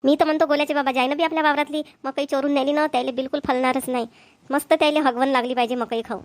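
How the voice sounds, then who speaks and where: A woman's voice: high voice, fairly even intonation, fast, natural voice. A woman voicing a fretful, doting farm wife in a village cartoon, plaintive and repetitive. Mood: indignant. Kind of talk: Marathi village cartoon comedy dialogue.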